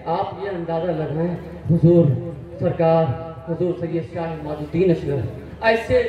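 Impassioned high-voiced man preaching, booming echo. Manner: impassioned, repeats phrases for emphasis, rising to near-shouts. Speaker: religious speaker at an urs gathering. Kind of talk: Urdu-flavoured devotional speech. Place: large crowded outdoor or hall gathering at night. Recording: loud booming PA echo from a distance.

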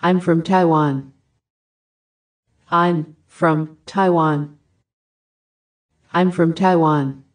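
A woman reading short English phrases for a language lesson, each one repeated with careful, even enunciation. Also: deep voice, very slow, pauses often. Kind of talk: slow repeated phrase drills.

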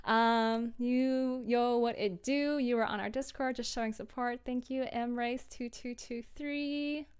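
A woman's voice: a little high, fairly even intonation, measured pace, hardly any pauses, darkish tone, clear, resonant tone. A young woman chatting casually on a livestream and answering viewers in a scattered, self-interrupting, informal way. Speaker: audio drama producer and livestreamer.

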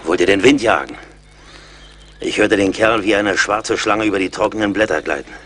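An actor playing a seasoned, blunt and mocking frontier scout in a radio play, with a wry, commanding manner. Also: male voice, fairly low pitch, normal speed, fairly bright tone, a little hiss or hum, close to an everyday voice. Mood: urgent, grim. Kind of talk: German radio play acting.

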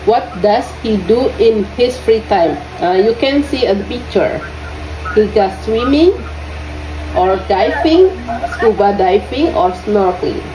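A woman from Indonesia with an Indonesian accent teaching English to young students in an online lesson. She speaks haltingly, repeats her questions and fills her pauses with "uh". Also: mid-range voice, lively intonation. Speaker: English tutor. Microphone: lesson audio recorded on a phone. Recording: roomy phone recording with faint noise.

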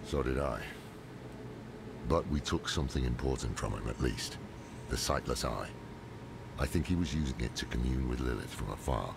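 A weary old demon hunter for a fantasy game. His voice is extremely low and thick with gravel. He speaks gravely and sparingly, over heavy background noise. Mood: weary, wary. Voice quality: very gravelly, rumbling. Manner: grave, deliberate, sparing with words. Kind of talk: dramatic game dialogue and narration.

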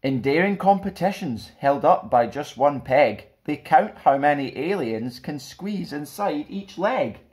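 A young man presenting and reading for children in an expressive storytime style, recorded indoors at about arm's length from the camera. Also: fairly high pitch, a little slow, few pauses. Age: young adult. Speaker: storytime host reading a picture book. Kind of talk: expressive children's read-aloud with friendly presenting. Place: small plain indoor room.

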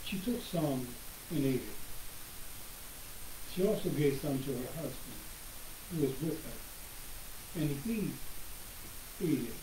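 An older man preaching as a pastor from his home office with a slightly hoarse voice, reading scripture in a slow, measured way and clearing his throat at times. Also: on the high side, lively intonation, warm tone. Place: home office, seated at a desk. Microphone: webcam across the room. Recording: roomy webcam recording with hiss.

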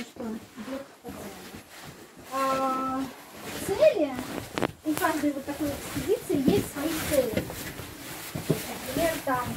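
A woman working as a cave guide, explaining informally and haltingly, with many pauses. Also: high voice. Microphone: handheld camera a few steps away. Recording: echoey, distant, noisy cave recording.

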